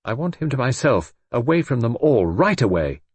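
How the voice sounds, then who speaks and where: A man voicing a tense young wife who speaks in a low, hurried, desperate way. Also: sing-song, slightly rough, no room sound.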